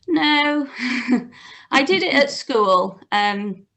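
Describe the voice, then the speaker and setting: A young adult woman, telling personal stories in a gentle, hesitant way on a video call. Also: high-pitched, lively intonation, extremely slow. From Cardiff, Wales. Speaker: interview guest. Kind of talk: casual personal storytelling. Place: small home room with bookshelves, on a video call. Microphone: computer mic on video call.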